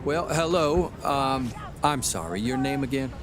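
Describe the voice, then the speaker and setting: A man's voice: mid-range voice, lively intonation, noisy background, close to an everyday voice. An actor playing a weary, evasive 1940s newspaperman husband in an audio drama, hesitant and placating. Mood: awkward, polite. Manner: hesitant, halting, mumbled deflections. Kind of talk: naturalistic period audio drama acting.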